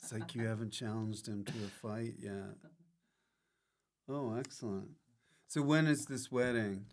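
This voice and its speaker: A man's voice: on the low side, steady tone, measured pace. A man hosting a comedy podcast, chatting casually with short questions and playful interjections.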